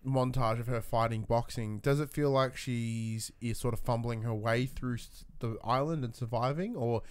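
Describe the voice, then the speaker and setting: A man's voice: mid-range voice, fairly even intonation, normal speed, clear, resonant tone. A man co-hosting a podcast in a casual, chatty style, putting questions to his partner. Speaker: podcast co-host reading viewer questions.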